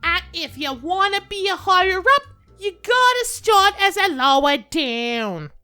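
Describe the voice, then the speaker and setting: A woman's voice: very high-pitched, very animated, measured pace, sharp tone, soft background music, full character voice. A woman playing a brash, officious receptionist in a comedic audio drama, talking slangily and dismissively. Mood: unimpressed, brusque. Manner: slangy working-class talk, dismissive. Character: brash, officious female receptionist at a celestial bureaucracy.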